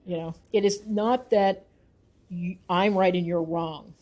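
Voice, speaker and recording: A woman's voice: fairly low pitch, steady tone, measured pace, dull audio. A woman giving thoughtful interview answers as a researcher and author, explaining her views in an earnest, emphatic way.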